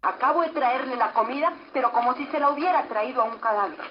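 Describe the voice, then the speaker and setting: A woman's voice: high-pitched, fast, natural voice. An actress playing a worried woman in a vintage radio drama. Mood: anxious, exasperated. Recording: dull, noisy vintage radio recording.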